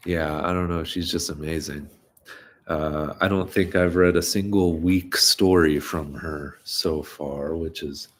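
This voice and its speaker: A man reading stories aloud on a live stream, chatting loosely between readings, a bit stuffy and nasal. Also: a bass voice. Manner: rambling, relaxed, many fillers. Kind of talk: live-streamed story reading with casual chat.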